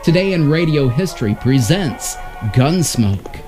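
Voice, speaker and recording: Music plays behind a man with a slightly high, lively voice. He narrates from a script as the host of a show. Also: unhurried.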